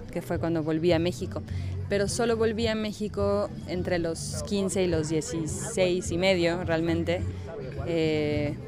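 A woman writer with a slightly unusual Mexican Spanish accent, answering interview questions thoughtfully and searching for her words. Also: lowish voice.

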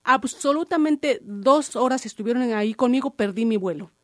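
A woman's voice: a little high, normal speed, dry recording, studio quality. A woman radio host in conversational storytelling, addressing listeners directly. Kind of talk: radio discussion with personal story.

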